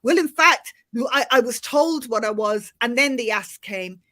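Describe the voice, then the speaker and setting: A middle-aged Irish woman with a fairly high voice hosts a relaxed panel conversation over a video call. Her accent has softened over the years, and she talks in a chatty, animated way, often restarting her sentences. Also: unhurried. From Ireland. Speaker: host. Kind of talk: casual panel chat.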